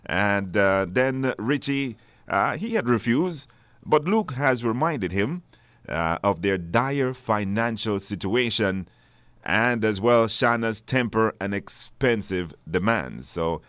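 A man hosts live radio in a fairly low voice. The studio sound is dry, and he hesitates and repeats words to stress them. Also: animated, slow pace, fairly bright tone, no echo. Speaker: radio talk show host.

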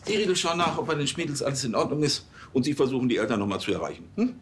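Man playing an irritable, fast-talking police chief. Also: mid-range voice, animated, background noise, lightly acted. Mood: bossy. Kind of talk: TV crime comedy acting.

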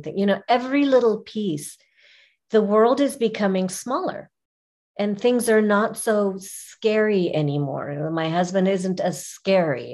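A middle-aged woman whose first language is Arabic, telling personal stories as an interview guest in an expressive way, repeating phrases for emphasis. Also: medium pitch. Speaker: podcast guest, image consultant. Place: small furnished room indoors, video call. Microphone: video call, seated at webcam distance.

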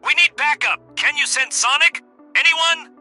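A man voicing a brash, gruff crocodile detective boss who barks out orders in a comic dub. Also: extremely high pitch, a little slow, sharp tone, soft background music, full character voice. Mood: urgent, barking.

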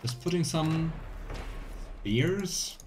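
A man's voice: a little high, lively intonation, extremely slow, noisy background. A young man giving casual, playful live commentary as he plays a video game, chatting to the game and viewers. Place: small indoor room at home. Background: game music.

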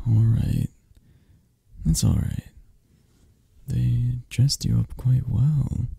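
A man playing a possessive, flirtatious, intimidating mafia boss in an ASMR roleplay, husky and murmuring, with drawn-out teasing pauses. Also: lowish voice, warm tone, no room sound, clean studio sound, heavily acted. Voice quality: husky, gravelly, at times breathy.

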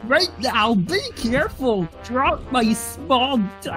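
A woman voicing an excitable, high-strung young princess in an amateur fantasy comic dub. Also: slow, faint music behind, heavily acted. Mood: flustered.

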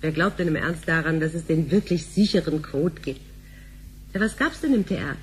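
A woman's voice: low-pitched, lively intonation, moderate pace, a little hiss or hum. An actress playing a sceptical, teasing mother in her thirties, in conversational radio play style.

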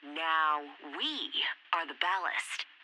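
A woman playing a brisk, self-important lead scientist recording a formal, precise log in a video game. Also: deep voice, lively intonation, sharp tone, dry recording, exaggerated voice, rough recording. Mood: proud, formal.

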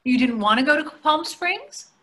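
An older actress plays a querulous, hungry wife in a comedy play. Her voice is fairly high and very bright, with lively swings of pitch. She keeps questioning and needling her husband. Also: moderate pace. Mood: irritated. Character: craving, hungry, nagging wife dining out. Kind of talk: readers theatre comedy acting. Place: indoor room on a video call. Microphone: video call microphone.

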